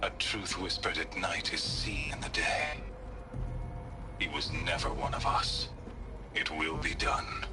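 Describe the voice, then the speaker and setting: An actor playing a reverent, grandiose cult zealot in a video game, with solemn, formal, scriptural phrasing. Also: male voice, fairly low pitch, sing-song, unhurried, gravelly, music in the background, full character voice. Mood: hushed devotion. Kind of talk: dramatic video game acting.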